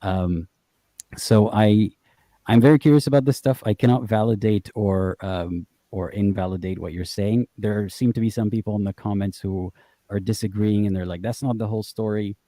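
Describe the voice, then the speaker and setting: A man's voice: lowish voice, steady tone, normal speed, mellow, no echo. A man hosting a call-in stream, chatting casually and asking curious, clarifying questions. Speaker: host.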